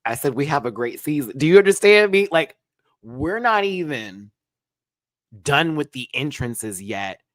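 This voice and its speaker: A man giving an animated, sassy live review of a drag competition show, with big swings in pitch. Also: measured pace, no room sound.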